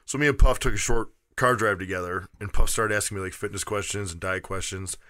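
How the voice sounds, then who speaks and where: Easygoing laughy podcast guy, bright voice, dead dry room. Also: on the low side, fairly even intonation, fairly quick, no echo. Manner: laughs often, easygoing storyteller. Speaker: podcast co-host. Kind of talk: casual chat.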